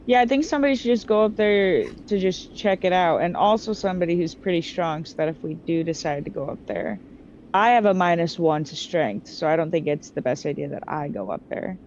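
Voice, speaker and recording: A woman's voice: fairly low pitch, brisk, a little mellow. A woman chatting casually as a player in a tabletop role-playing game. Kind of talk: casual chat. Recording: dull, slightly noisy recording.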